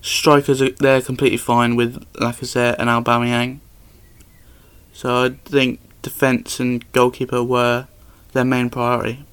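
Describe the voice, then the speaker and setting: A man's voice: mid-range voice, monotone, measured pace, a bit bright, clean tone. A young man co-hosting a casual football podcast, giving matter-of-fact opinions. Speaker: podcast co-host.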